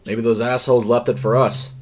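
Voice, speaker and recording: A man voicing a dismissive male fast-food worker with a rough voice for an audio story. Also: medium pitch, flat delivery, a little hiss or hum, lightly acted. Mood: offhand.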